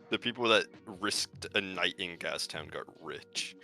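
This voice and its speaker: A man's voice: low voice, slow, bright, forceful tone, faint music behind. A young man from Canada, telling stories as a podcast guest in a rambling way full of "like" and "um". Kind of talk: casual chat.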